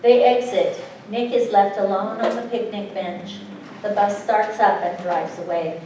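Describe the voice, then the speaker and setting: A woman's voice: mid-range voice, steady tone, a little slow, mellow. A woman reading out scene headings and stage directions as scripted narration at a staged reading. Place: live staged reading in a hall. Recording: echoey hall from far off, faint noise.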